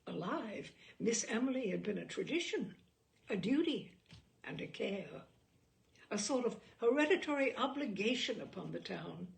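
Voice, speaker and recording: Gravelly, expressive woman reading literature aloud. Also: medium pitch. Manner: deliberate, dramatic phrasing with pauses. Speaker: storyteller reading a short story aloud. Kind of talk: expressive literary audiobook narration.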